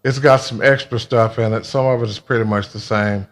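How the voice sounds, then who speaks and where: A man speaking in a casual monologue, with a halting, blunt and emphatic way of talking. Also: mid-range voice, steady tone. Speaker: video creator telling a personal grievance.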